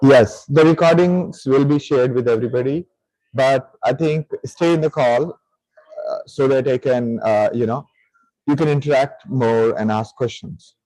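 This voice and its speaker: A man's voice: medium pitch, a little slow, dark tone, dry recording, low-quality recording. A man with an Indian accent chatting casually as a meetup host, often giving brief, repeated acknowledgements. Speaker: host and speaker at a tech meetup. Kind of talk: casual chat.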